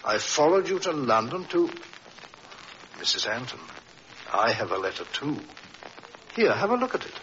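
An actor playing a resolute, protective police inspector in an old-time radio drama, urgent and earnest. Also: male voice, mid-range voice, very animated, normal speed, long pauses, sharp tone. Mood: explaining, grave. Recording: hissy, dull vintage radio recording.

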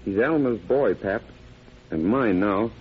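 Casting: stubborn, sincere farm son in his twenties, rural American, slightly rough voice. Radio play, thin phone-like sound. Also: fairly low pitch, lively intonation, slow pace, heavily acted. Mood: coaxing. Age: 20s. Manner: plain-spoken, folksy, insistent. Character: earnest, stubborn young country son in love. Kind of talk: old-time radio drama acting. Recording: tinny old radio recording.

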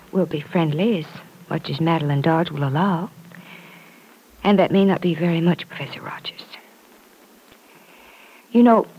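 An actress playing a gentle, guarded but kind young woman in an old-time radio drama, speaking politely and softly. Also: fairly low pitch, breathy edge. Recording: noisy vintage radio show recording.

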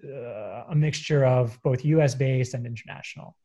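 Mellow-voiced man, hesitant and full of fillers. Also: mid-range voice, normal speed, slightly rough, highs cut off. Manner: hesitant, many fillers and restarts. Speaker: guest describing his finance career. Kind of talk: conversational interview.

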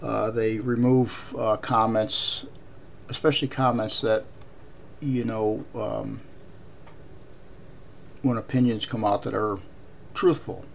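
A man hosting a podcast, raspy voice, talking haltingly with many ums and uhs. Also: fairly low pitch, steady tone, slight background noise. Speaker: podcast host. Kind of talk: casual podcast commentary.

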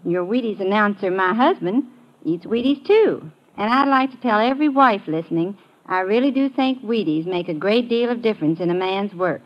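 A woman's voice: mid-range voice, lively intonation, moderate pace. A dulled vintage radio recording of a woman from Kentucky. She has a Southern accent and a middle-range voice. She delivers a scripted 1950s testimonial as a wife endorsing a product. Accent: Kentucky Southern American. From Kentucky, United States. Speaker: announcer's wife giving testimonial. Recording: dull old radio recording.

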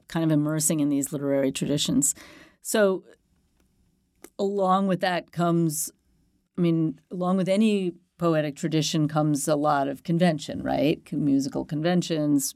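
Gravelly, dry-sounding interviewer. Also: mid-range voice, darkish tone, no room sound. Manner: engaged, frequent affirming backchannels. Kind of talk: conversational interview.

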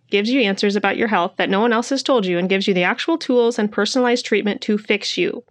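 A woman hosting a podcast, sounding a bit congested from a cold, reading an upbeat scripted intro before chatting with her guest. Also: mid-range voice. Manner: upbeat, promotional. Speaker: podcast host. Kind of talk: scripted intro, then casual interview.

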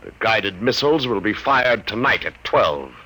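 An actor playing a scheming middle-aged high minister of Earth in a 1950s radio drama, coldly threatening his captives and fawning to soldiers. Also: very animated, moderate pace, bright, forceful tone, slightly rough, heavily acted, low-quality recording. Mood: coldly menacing. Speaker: villain.

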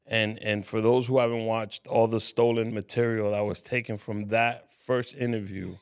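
A man's voice: lowish voice, flat delivery, normal speed, smooth. A man hosting a casual podcast interview, joking and laughing often with his guest. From Harlem, New York. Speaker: podcast host.

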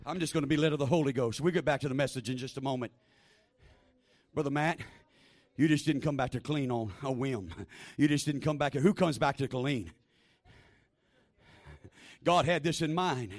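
A man preaching a fervent sermon in a small church, repeating phrases and building to shouted exhortation over a handheld microphone. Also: mid-range voice, normal speed, pauses often. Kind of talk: Pentecostal-style sermon.

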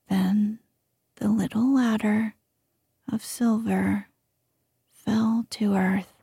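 A woman narrates a fairy tale entirely in a soothing whisper, in a very unhurried way. Her voice is breathy and husky, with a gravelly undertone. The recording is dry and close to the mic. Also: medium pitch, mellow, no room sound. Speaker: storyteller. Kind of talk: whispered ASMR storytelling.

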